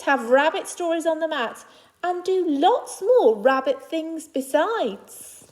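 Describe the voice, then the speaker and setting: A woman's voice: sits high, darkish tone. A woman teacher reading a children's picture book aloud to young pupils, phrasing it simply and adding reassuring asides in her own words.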